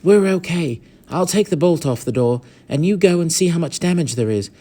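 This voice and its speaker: A man doing an excitable young boy. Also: on the high side, voice pushed up. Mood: urgent. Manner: urgent, quick.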